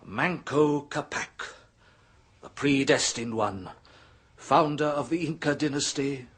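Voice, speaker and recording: An earnest, husky professor. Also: male voice, medium pitch, slow pace. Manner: hesitant, then rising to hushed intensity. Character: scholarly male professor of ancient history, earnest and intense. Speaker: actor in radio drama. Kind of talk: 1970s radio drama acting.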